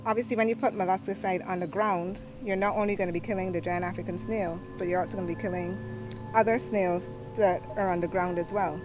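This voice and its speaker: A woman's voice: medium pitch, steady tone, quick pace, over music, poor audio. A woman university researcher explaining her work in a casual, interview manner.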